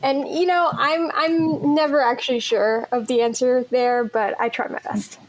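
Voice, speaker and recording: A woman's voice: high-pitched, fairly even intonation, a little slow, poor audio. A woman chatting casually and playfully as a voice actress in an interview. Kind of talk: casual joking chat. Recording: faint background noise.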